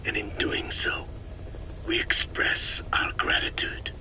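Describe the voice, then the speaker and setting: Whispery, slow, ancient Navajo sage. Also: male voice, low-quality recording. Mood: calm, earnest. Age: elderly. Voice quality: hushed, breathy rasp, barely voiced. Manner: slow, grave, measured, formal phrasing. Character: elderly Navajo man, solemn, philosophical sage. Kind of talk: video game voice acting, philosophical monologue.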